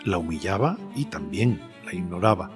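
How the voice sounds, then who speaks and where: A man playing a polite, probing journalist, interviewing in a measured, hesitant way for an audio drama. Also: low voice, expressive. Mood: probing, wry. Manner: measured, hesitant, deliberate phrasing. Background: cello and violin music.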